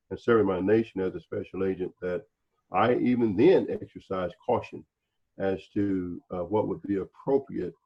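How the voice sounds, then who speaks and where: A man speaks reflectively in a discussion, halting and deliberate, often repeating words as he searches for the next one. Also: low voice, dull audio. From North Carolina, United States. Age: 60s. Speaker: panel speaker, former special agent.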